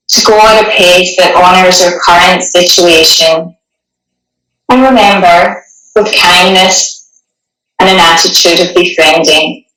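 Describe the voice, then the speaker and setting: A woman guiding a meditation in a gentle, soothing, unhurried way, with long pauses between phrases. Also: on the low side. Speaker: meditation guide. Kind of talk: guided meditation, partly reading a passage. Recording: echoey room, distant microphone.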